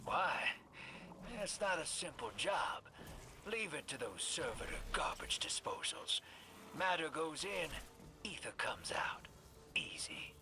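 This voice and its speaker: Cocky, animated wisecracking scout. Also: male voice, on the high side, slow pace, whispered, heavily acted. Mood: wry. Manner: wisecracking, folksy, laid-back. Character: cocky, wry male scout pilot with folksy swagger. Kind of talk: scripted video game dialogue. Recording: clear background noise of game ambience.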